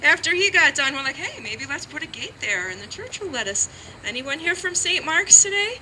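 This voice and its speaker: An upbeat, chatty woman introduces a speaker outdoors at a garden ceremony. Her voice is high and very bright. Faint street noise sits behind her. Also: big pitch swings, moderate pace, rough recording. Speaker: event organizer introducing speakers.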